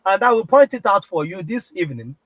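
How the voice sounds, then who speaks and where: Nigerian male host, sick with a cold, husky, slightly high-pitched, insistent live-stream talker. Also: sing-song, brisk, fairly bright tone, no echo. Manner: keeps clearing his throat, repetitive and insistent. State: has a heavy cold, throat strained. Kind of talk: political live-stream address.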